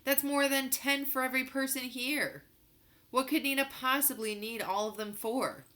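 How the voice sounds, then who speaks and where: A woman's voice: high voice, steady tone, normal speed, a bit bright, voice pushed up. A woman voicing a precocious, stubborn young boy in a storybook, arguing insistently. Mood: insistent, questioning. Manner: insistent, argumentative. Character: young boy Dashiell, precocious, stubborn moon-base kid.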